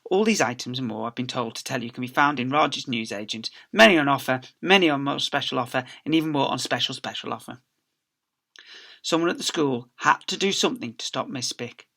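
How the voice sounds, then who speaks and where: A man who is a teacher reading a story aloud to children, with animated, theatrical emphasis. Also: mid-range voice, brisk, creaky. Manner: theatrical emphasis with acted sound effects.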